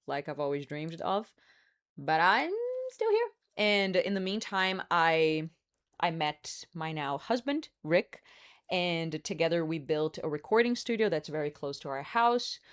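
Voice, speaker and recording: A woman's voice: fairly low pitch, clean tone. A woman from Brazil, hosting a live stream in casual, candid chat, smoking a cigar as she talks and hedging with fillers. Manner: chatty and candid, hedging with fillers, laughs often; smoking a cigar while talking. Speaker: livestream host.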